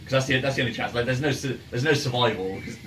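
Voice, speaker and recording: A man speaking as game master, narrating a tabletop game in a casual, halting and amused way. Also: medium pitch. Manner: halting, amused, chuckly delivery. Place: indoor room, in-person game session. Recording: distant mic, noisy room ambience.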